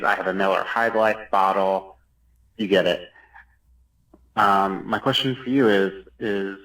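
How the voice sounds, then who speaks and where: Slow, lower-voiced man leaving a voicemail. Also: a bit bright. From Chicago, United States. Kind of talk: casual voicemail message. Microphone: phone. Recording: voicemail, distant from mic.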